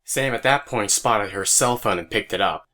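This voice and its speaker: A man's voice: on the low side, normal speed, pushed and bright. A man narrating a scripted story as the narrator of an audio drama. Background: music.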